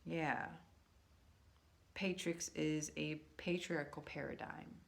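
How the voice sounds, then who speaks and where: Woman, low voice, casual vlog monologue, rambling, slow drawn-out emphasis. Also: fairly even intonation, gravelly. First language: English. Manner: rambling, restarts often, stretches words out slowly for emphasis. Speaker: vlogger. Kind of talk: casual musing monologue to viewers.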